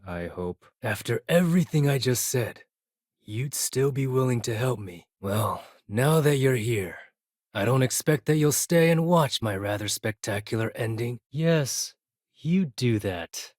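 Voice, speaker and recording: An actor plays a proud male reckoner for space pirates in a video game. His pitch is middling but swings widely through precise, formal phrases, with condescension and dry sarcasm, in a close, dry recording. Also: a little mellow, no room sound, close to an everyday voice. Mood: businesslike, smug, then bitter, resigned. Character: proud, sardonic space pirate fleet accountant, adult man. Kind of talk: scripted game dialogue.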